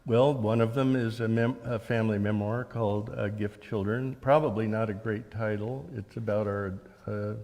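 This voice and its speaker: An American man in his seventies talking as an interview guest, recounting his work and memories in a measured, halting way. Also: fairly low pitch, steady tone, a little mellow. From Oregon, United States. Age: 70s. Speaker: interview guest, author and journalist. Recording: long hall reverb.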